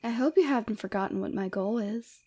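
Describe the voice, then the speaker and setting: Casting: woman to play seductive villainess for ASMR roleplay; soft, dark-toned, breathy delivery, coy and teasing, close on mic. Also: a little high, brisk. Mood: playful, flirty.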